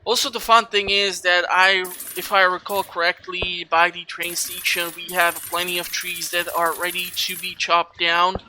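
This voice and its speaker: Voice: mid-range voice, little pitch movement, normal speed, hardly any pauses, a little hiss or hum, low-quality recording. A gaming video host giving casual let's play commentary, chatty and rambling while thinking aloud. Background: video game music.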